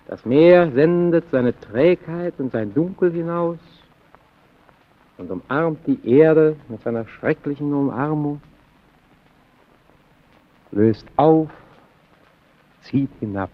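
Slow, brooding lighthouse keeper. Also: male voice, on the high side, phone-quality sound. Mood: ominous, haunted. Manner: slow, halting, intense, swelling and sinking to near murmur. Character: brooding solitary male lighthouse keeper, haunted by the sea. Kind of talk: German radio play acting.

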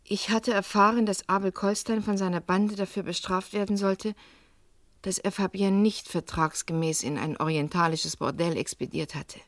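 Actress as defiant, haughty young student. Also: female voice, medium pitch, steady tone, moderate pace, no echo, natural voice. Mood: grave, confessing. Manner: curt, defensive, haughty. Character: defiant, evasive young female student under police questioning. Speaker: radio drama actor. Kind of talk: vintage German radio crime drama acting.

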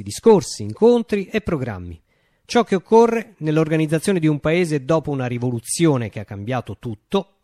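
Radio theatre: male actor as self-important, whimsical male artist-leader. Highish pitch, sweeping intonation, declamatory pauses. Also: no room sound, clean studio sound. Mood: wry, storytelling. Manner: declamatory, with dramatic pauses and swings. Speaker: actor performing a radio monologue.

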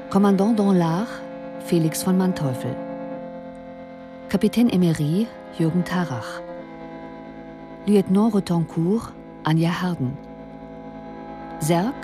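Langsame Ansagerin über deutlicher Musik. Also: female voice, lowish voice. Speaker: announcer. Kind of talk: scripted radio drama credits announcement.

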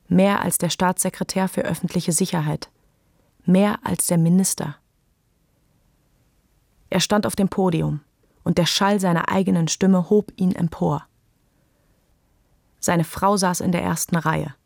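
A woman's voice: fairly low pitch, fairly even intonation, measured pace, vocal fry, long pauses, a little mellow, dry recording, studio quality. A woman narrating a literary radio play from a script.

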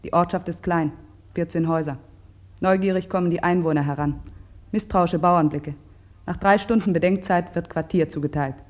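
A woman reading terse diary entries in a measured, matter-of-fact way for a radio drama. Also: on the low side, fairly even intonation, darkish tone. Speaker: diary reader.

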